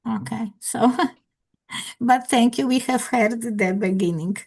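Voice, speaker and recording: A woman teacher leads an online English conversation class. Her voice sits a little high, and she talks in a friendly, encouraging way with a few hesitant fillers, heard through a video call. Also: slow pace, vocal fry, a little mellow, husky.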